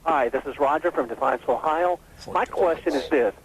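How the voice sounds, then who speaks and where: An American man calling in to a radio show, putting his question in an earnest, deliberate way. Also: a little high. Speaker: caller. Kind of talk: phone-in question. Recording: slightly noisy telephone call to radio.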